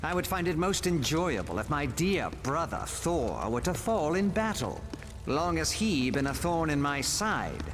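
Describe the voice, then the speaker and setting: An actor playing a vain, scheming god of mischief in a video game, theatrical, silky and sneering. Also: male voice, fairly high pitch, very animated, unhurried, in a large hall, noisy background. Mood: smug, mocking. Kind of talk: video game villain acting.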